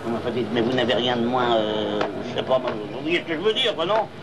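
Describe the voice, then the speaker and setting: A man's voice: medium pitch, quick pace, lightly acted. An actor playing an exasperated, bossy middle-aged man in a comic film, sputtering with indignation. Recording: noisy old film soundtrack.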